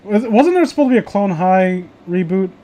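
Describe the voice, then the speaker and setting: A man with a high voice talks casually among friends. He rambles through his opinions and swears freely as he goes. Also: animated, a little fast, dark tone. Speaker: host of a reading show.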